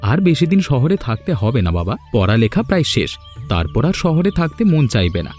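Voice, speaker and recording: A man's voice: mid-range voice, big pitch swings, brisk, a little mellow, faint music behind, natural voice. A man playing a cheerful, devoted young student son in a cartoon, eager and affectionate. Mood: happy, affectionate. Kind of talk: Bengali fairy tale cartoon dubbing.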